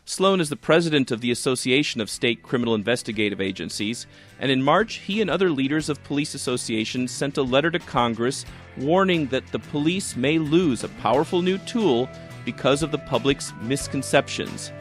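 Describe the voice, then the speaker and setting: A man's voice: medium pitch, lively intonation, a little fast, faint music behind. A man reading a scripted news feature in a conversational, storytelling way as a reporter.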